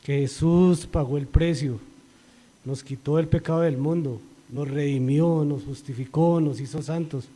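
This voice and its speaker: A man's voice: a little high, fairly even intonation, measured pace. A middle-aged Colombian man with a Colombian accent giving an earnest church testimony, his voice rising when he quotes scripture. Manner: earnest, rises and grows louder when quoting scripture. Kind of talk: spoken religious testimony. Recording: long reverberant hall.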